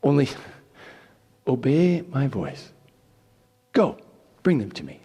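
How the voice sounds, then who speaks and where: A man voicing a scheming, commanding mother in a deliberate, coaxing scripture reading. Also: medium pitch, sing-song, dark tone, husky, in a large hall, exaggerated voice.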